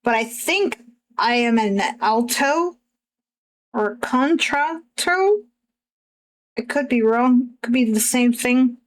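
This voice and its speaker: A young woman with a husky voice that sits a little high for her, chatting on a livestream. She talks slowly and unhurriedly, hesitating and circling back with lots of fillers as she thinks aloud. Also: lively intonation, rough recording. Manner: hesitant, halting, many fillers and restarts. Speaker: streamer. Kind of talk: casual chat while drawing on stream.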